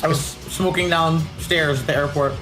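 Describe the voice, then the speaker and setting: A woman's voice: sits low, steady tone, normal speed, music in the background, rough recording. A woman from Hawaii, making brief dry remarks on a podcast. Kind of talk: casual chat.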